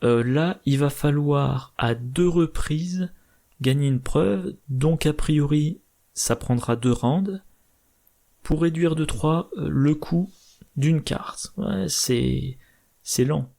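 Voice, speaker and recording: A man with a slightly hoarse, gravelly voice walks through card game strategy in a methodical, explanatory way. He thinks aloud as he goes, with small hesitations and self-corrections. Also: fairly high pitch, slow pace, mellow. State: slightly hoarse, irritated throat. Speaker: board game channel host.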